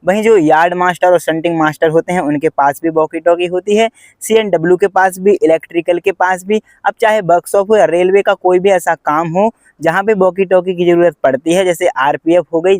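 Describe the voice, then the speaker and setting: A man's voice: high-pitched, fairly even intonation, brisk, a little mellow, dry recording. A man giving a plain, step-by-step explainer to viewers as a vlog host. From India. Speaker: host, railway pointsman vlogger.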